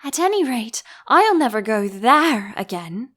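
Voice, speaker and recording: A woman's voice: on the high side, big pitch swings, a little slow, fairly bright tone, exaggerated voice. A woman voicing a curious, polite, easily confused young girl with a questioning manner in an audiobook. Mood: disgusted. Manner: cautious, questioning.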